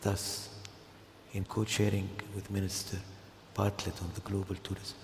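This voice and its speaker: An older man from Jordan speaks slowly in a very deep, gravelly voice with a flat, even delivery. He gives a formal speech, pausing between phrases. The sound is echoey and comes from a large hall. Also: slight background noise. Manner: slow and measured, with pauses. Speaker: guest speaker. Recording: echoey hall, heard from a distance.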